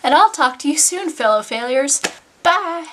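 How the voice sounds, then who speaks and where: Casting: Canadian woman, twenties, fairly high voice, casual vlog storyteller, sardonic and self-mocking. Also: normal speed, slight background noise. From Nova Scotia, Canada. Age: 20s. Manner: animated, self-deprecating, sardonic. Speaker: vlogger. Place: small bedroom, indoors.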